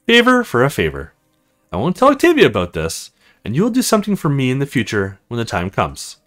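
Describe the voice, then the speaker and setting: A man's voice: mid-range voice, huge pitch swings, a little fast, a bit bright, faint music behind. A man voicing a stern, teasing female officer in a visual novel, matter-of-fact and commanding. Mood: matter-of-fact, bargaining. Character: stern, teasing military woman officer in charge.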